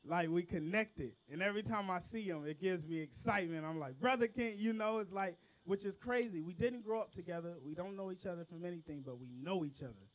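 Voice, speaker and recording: High-voiced excitable man bantering onstage. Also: rough recording. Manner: loose, excitable and profane, with quick bursts. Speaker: rapper hosting his own backyard show. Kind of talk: casual banter with a live audience. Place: outdoor backyard concert.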